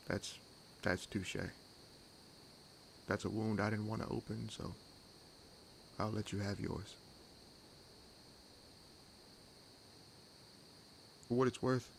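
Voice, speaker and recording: Over a soft bed of night-forest insects comes a low, gravelly male vampire acted by a man. He is wry and world-weary, needling his companion with sardonic teasing. The delivery is intimate and unhurried, in the manner of an audio roleplay. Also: slight background noise. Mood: rueful. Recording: nature ambience laid under voice. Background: forest ambience with crickets and insects.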